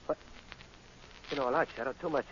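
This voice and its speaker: An American actor playing a tough but jumpy gangster on the run in 1940s radio style, slangy and blustering. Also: male voice, mid-range voice, a little fast, fairly bright tone, husky. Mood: nervous. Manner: slangy, blustering, stammering under pressure. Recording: dull, hissy 1940s radio recording.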